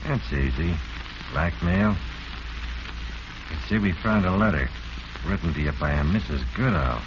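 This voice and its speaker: An actor playing a gruff, sardonic homicide lieutenant in a 1940s radio drama, curt and slangy. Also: male voice, sits low, lively intonation, unhurried. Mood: sardonic, accusing. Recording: muffled, noisy vintage radio recording.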